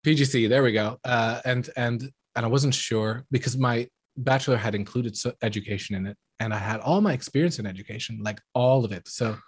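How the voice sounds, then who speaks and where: A man's voice: mid-range voice, fairly quick, hardly any pauses, breathy edge, dry recording. A man from the Netherlands chatting casually as an interview guest, telling stories and acting out what people said. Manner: animated storytelling, acting out quoted remarks. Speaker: interview guest, school drama teacher. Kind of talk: casual anecdotal chat.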